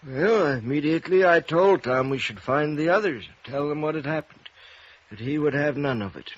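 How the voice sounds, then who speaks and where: Older male preacher, played by an actor, vintage radio drama: troubled, hesitant, polite, husky, dull lo-fi sound. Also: fairly high pitch, dry recording, close to an everyday voice. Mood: confiding. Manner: hesitant, courteous, halting. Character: troubled preacher, former silent film director, guarded and confiding. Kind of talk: 1950s American radio drama acting. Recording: muffled vintage radio recording.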